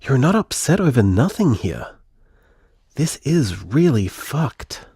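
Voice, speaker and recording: A man playing a tender, protective boyfriend in an audio drama, gentle, earnest and reassuring. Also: mid-range voice, big pitch swings, slow pace, slightly rough, no echo, studio quality, full character voice. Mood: earnest, supportive. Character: tender, protective boyfriend comforting his hurt partner. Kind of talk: audio drama voicemail monologue.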